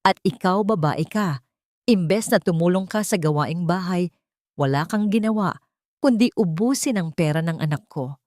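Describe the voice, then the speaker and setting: Woman doing bossy angry old mom in a drama story, scolding, lowish voice, dry recording. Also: lively intonation, moderate pace, a little mellow, no echo, lightly acted. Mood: scolding, contemptuous. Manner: scolding, imperious. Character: angry, domineering elderly mother-in-law matriarch.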